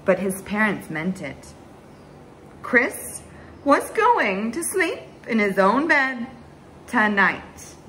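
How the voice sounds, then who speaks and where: A young woman reads a children's storybook at an unhurried pace. Her high voice swings widely and dramatically, with drawn-out words and hushed asides. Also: sing-song, a little hiss or hum, rough recording. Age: young adult. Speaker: story time host reading a picture book aloud. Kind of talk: expressive children's storybook read-aloud. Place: small bright room indoors at home.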